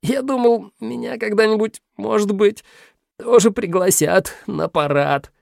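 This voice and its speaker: A man's voice: sits high, a little slow, gravelly, a little mellow, no room sound, clean studio sound, pitched above the performer's own voice, heavily acted. A man doing a whiny sly minus sign. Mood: sheepish. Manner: wheedling, pleading, evasive.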